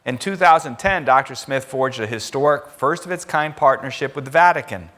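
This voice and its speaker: A man's voice: mid-range voice, brisk, a bit bright. A man moderating a conference session and introducing the next speaker, casual and slightly stumbling.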